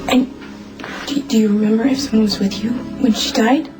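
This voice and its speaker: Woman acting a higher-voiced, earnest, determined friend. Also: brisk, lightly acted, poor audio. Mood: concerned, gentle. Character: supportive, determined woman urging on a troubled friend. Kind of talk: dramatic audio or screen acting. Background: dramatic music.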